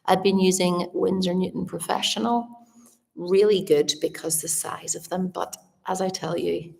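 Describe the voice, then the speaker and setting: A Northern Irish woman talks straight to her live viewers in a relaxed, chatty way. Her voice sits low with a gravelly fry. The big old house she records in gives a long, echoing reverb. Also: normal speed. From Northern Ireland. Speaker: artist livestreaming to viewers. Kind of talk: casual live chat to viewers. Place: large old house, indoors.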